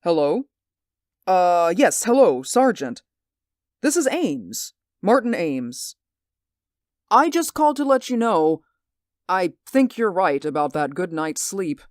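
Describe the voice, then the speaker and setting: A nervy, obsessed radio-play man who stammers and pleads, his voice swinging widely up and down. He talks slowly, and the sound is close and dry, as in a 1940s radio drama. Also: high voice, big pitch swings, no room sound, full character voice. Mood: uneasy. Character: jittery, obsessed man haunted by a neighbor's window. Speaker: actor.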